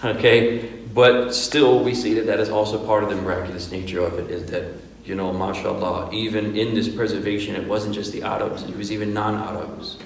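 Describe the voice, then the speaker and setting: A man's voice: fairly low pitch, steady tone, a little fast, gravelly, a little hiss or hum. A man, an imam, giving an informal Quran workshop lecture, conversational and full of rhetorical questions, with Arabic pronunciation shown along the way. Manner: conversational, asks rhetorical questions like "right?" and "okay?". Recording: echoey hall, speaker far from the mic.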